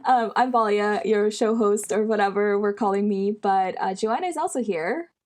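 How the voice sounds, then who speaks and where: A woman's voice: mid-range voice, normal speed. A woman hosting a podcast in a loose, informal way, with plenty of "like" and "um". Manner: informal, rambling, lots of fillers. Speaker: podcast host. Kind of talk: casual podcast chat.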